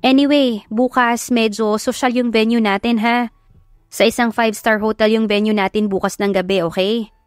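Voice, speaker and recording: A woman with a Filipino accent voicing a brisk, chatty female events boss in an audio drama. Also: fairly high pitch, steady tone, smooth, no room sound, studio quality, lightly acted. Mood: businesslike. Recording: background music under the voice.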